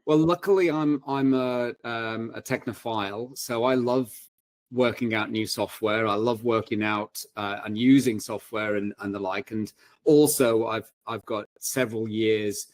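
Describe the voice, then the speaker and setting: A man telling anecdotes as a panel guest, informal and halting, often correcting himself as he goes. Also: lowish voice, fairly even intonation, no echo. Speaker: panel guest, self-published author. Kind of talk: casual anecdotal chat.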